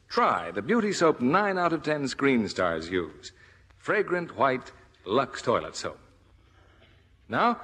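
A man's voice: medium pitch, a bit bright. A man working as an announcer, reading a scripted 1950s radio advertisement. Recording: echoey theater, vintage radio broadcast.

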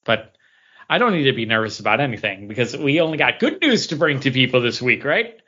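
A male podcast co-host chatting casually. His pitch moves around a lot as he tells stories and acts out dialogue, and the audio is a little dull. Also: a little fast, breathy edge. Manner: animated storytelling, acting out dialogue. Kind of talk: casual banter and anecdotes.

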